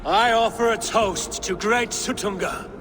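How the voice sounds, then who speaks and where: An actor playing a boastful warrior god in a video game, speaking formally and declaiming like a courteous guest. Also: male voice, high voice, slow, music in the background. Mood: bold. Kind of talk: video game character acting.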